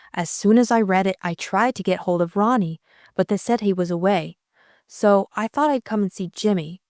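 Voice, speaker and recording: A woman's voice: mid-range voice, no echo, clean studio sound, natural voice. A woman voicing an earnest, troubled young lady confiding in someone in an audiobook. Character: earnest, troubled young Englishwoman seeking advice. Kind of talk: audiobook character acting.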